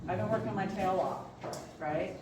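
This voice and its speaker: A woman's voice: mid-range voice, moderate pace. A middle-aged woman, an insurance agent, giving an informal, chatty presentation to an audience. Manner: chatty, colloquial. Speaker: insurance agent, guest speaker. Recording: very echoey room, distant mic, noisy.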